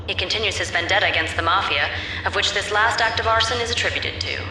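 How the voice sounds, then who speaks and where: An actress playing a TV news reporter, reading a report in a crisp, brisk manner. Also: female voice, medium pitch, animated, bright, forceful tone, echoey room, slight background noise, rough recording.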